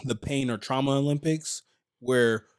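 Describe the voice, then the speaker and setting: A man's voice: medium pitch, measured pace, clear, resonant tone. An American man hosting a casual podcast, talking candidly with joking asides and loose swearing. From United States. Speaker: podcast host.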